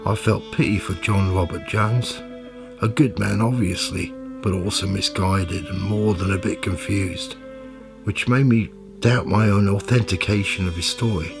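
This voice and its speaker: An actor playing a weary, reflective investigator who narrates a radio play in a wry, brooding, creaky voice. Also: male voice, low voice, fairly even intonation, measured pace. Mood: reflective, melancholy. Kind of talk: scripted first-person radio drama narration. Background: music.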